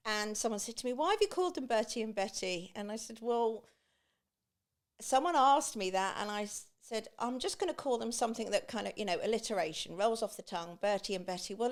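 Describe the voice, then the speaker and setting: A middle-aged woman with an East Anglian English accent, chatting and telling personal stories, acting out remembered remarks as she goes. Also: medium pitch. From Great Yarmouth, England. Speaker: podcast guest, beach hut business owner.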